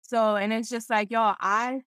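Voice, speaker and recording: A woman's voice: fairly high pitch, measured pace, clear, resonant tone, no room sound. Young woman from Philly, podcast guest, chatty, lots of "like", dry close sound. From Philadelphia, USA. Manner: animated, laughs often, lots of filler "like". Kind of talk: casual podcast interview.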